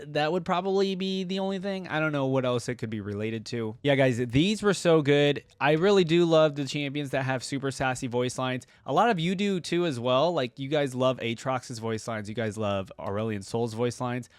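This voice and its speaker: A man's voice: mid-range voice, no room sound. A young man giving casual, rambling, enthusiastic reaction commentary as a video host.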